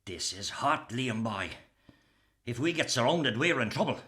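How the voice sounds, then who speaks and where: An actor with an Irish accent playing a commanding, urgent rebel column leader in a radio play. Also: male voice, medium pitch, expressive, unhurried, pushed and bright, slightly rough, exaggerated voice. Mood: tense, resolute.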